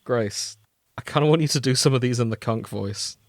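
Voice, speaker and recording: British man with a deep, close voice. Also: brisk, dry recording. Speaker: podcast co-host. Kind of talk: casual podcast chat.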